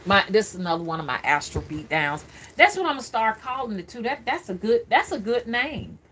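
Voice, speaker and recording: A woman's voice: on the high side, very animated, fairly quick, fairly bright tone, a little hiss or hum. A woman in her fifties talking casually on a live stream, rambling and often repeating her words as she goes. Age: 50s. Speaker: spiritual reader streaming live.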